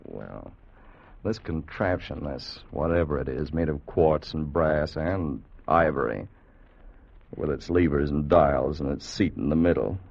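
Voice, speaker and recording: A doubting, jittery gentleman in a vintage radio play. His voice is very low and gravelly, swinging widely with disbelief, on a muffled old recording. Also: expressive, a little slow, exaggerated voice. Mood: incredulous, scoffing. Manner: incredulous, theatrical. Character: skeptical, nervous man friend of an inventor. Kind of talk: 1950s radio drama acting.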